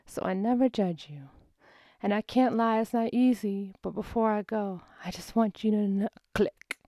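Mellow woman performing intimate spoken word poetry. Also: medium pitch, moderate pace, no echo. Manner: intimate, expressive, phrases drop to breathy near-whisper. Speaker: poet reading own work.

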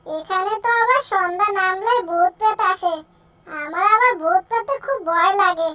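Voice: very high voice, normal speed, sharp tone. A performer voicing a kindly, plaintive old village woman in a children's cartoon story. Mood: weary, affectionate. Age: older.

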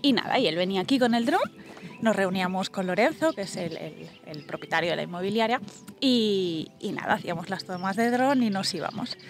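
A woman's voice: medium pitch, animated, fairly quick. A woman in her thirties, talking casually and rambling with many asides while showing a house to her selfie camera outdoors. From Spain. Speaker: vlogger and presenter. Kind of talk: casual vlog house tour. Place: outdoors in a country garden. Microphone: small camera mic held at arm's length.

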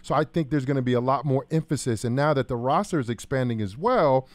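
Male American ex-athlete co-host, thirties, casual delivery, medium pitch, dry studio sound. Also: fast, no room sound. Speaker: co-host, former football player. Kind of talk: casual sports talk. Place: small indoor studio. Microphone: close broadcast boom microphone.

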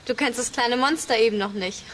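Impatient big-sister type, female actor, high youthful voice, faint noise behind, children's audio drama. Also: a little fast, close to an everyday voice, low-quality recording. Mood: annoyed. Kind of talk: German children's radio drama acting.

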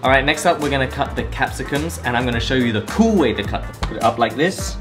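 A man's voice: mid-range voice, expressive, quick pace. A young man showing how to cook in a small home kitchen, explaining each step in a playful, joking way, filmed up close on a handheld camera. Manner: playful, jokey, explains steps to viewers. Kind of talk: casual cooking demonstration. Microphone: camera held by partner, close. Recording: music playing over the voice. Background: background music.

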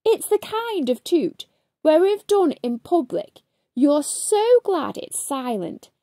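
A woman reading a storybook aloud to children, with theatrical, drawn-out emphasis on key words. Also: sits high, warm tone, no room sound, clean studio sound. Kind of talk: expressive read-aloud narration for children.

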